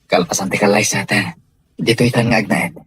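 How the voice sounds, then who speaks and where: A man's voice: on the low side, fairly even intonation, normal speed, fairly bright tone, slight background noise, natural voice. A Filipino man playing a devoted young sweetheart in a radio drama, speaking earnestly and tenderly. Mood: earnest, reassuring. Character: devoted young male sweetheart surprising his beloved with a house. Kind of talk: Ilocano radio drama acting.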